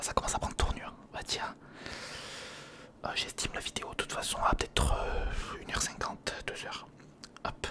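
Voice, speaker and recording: A man whispering in a breathy, crackly voice, casually murmuring a step-by-step ASMR commentary as he thinks aloud. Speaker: ASMR video creator.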